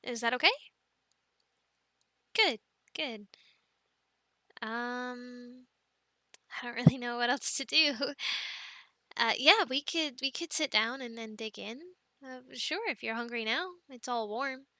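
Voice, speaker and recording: A woman playing a devout, affectionate, playful young girlfriend in a casual roleplay, chatting softly and hesitantly with giggly fillers and pauses. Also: a little high, no echo. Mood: casual, caring. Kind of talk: soft girlfriend roleplay, casual improvised-sounding chat.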